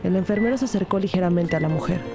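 A woman's voice: fairly low pitch, racing, over music, close to an everyday voice. An actress playing an earnest rookie detective who narrates her case in a scripted audio drama. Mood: serious, intent. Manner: serious, storytelling.

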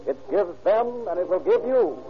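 A man's voice: sits high, expressive, normal speed, breathy edge. A man announcing on 1940s radio with an emphatic, promotional delivery. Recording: tinny old radio with faint music.

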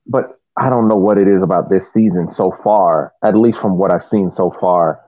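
Low-voiced man on thin phone-like audio. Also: moderate pace, no room sound. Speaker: podcast co-host. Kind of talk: casual sports analysis.

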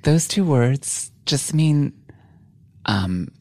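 A woman with a deep voice, a podcast guest frankly telling personal stories with wry humor in a casual chat. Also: expressive, drawn-out words, a little mellow, no room sound, clean studio sound. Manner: frank, wry storytelling with laughs.

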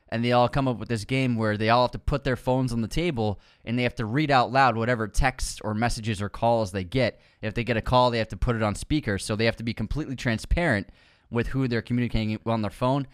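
A young man co-hosts a casual film podcast in a chatty, teasing way, growing softer as he describes films. His voice sits at a middle pitch. The recording is dry and close. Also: fairly quick, smooth, dry recording. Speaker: podcast co-host. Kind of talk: casual chat.

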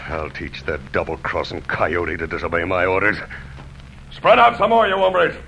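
An actor playing a ruthless, hot-tempered bandit chief with an American Western accent, bullying and barking orders in a radio western. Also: male voice, low voice, lively intonation, normal speed, gravelly, sharp tone, full character voice. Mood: angry, menacing. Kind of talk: old-time radio western acting. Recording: muffled, hissy old radio drama recording.

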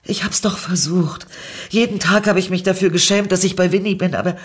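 A woman's voice: on the low side, slow, slightly rough, pitched above the performer's own voice, full character voice. A woman voicing a lovesick, guilty woman in a dramatic story reading, pleading with breathless emotion. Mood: tearful, loving. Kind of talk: dramatic fan fiction reading.

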